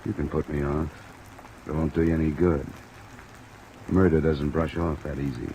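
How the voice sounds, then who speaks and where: An actor playing a tough, cool-headed insurance investigator, clipped and matter-of-fact, in an old-time radio drama. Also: male voice, rumbling low, fairly even intonation, normal speed, dark tone, slight background noise, poor audio. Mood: accusing, firm. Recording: old radio recording under light rain.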